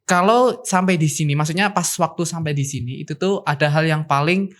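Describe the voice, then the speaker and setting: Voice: medium pitch, a little fast, fairly bright tone. A student in their twenties from Java, chatting casually on a student podcast and thinking aloud with fillers. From Central Java, Indonesia. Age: 20s. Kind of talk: casual chat.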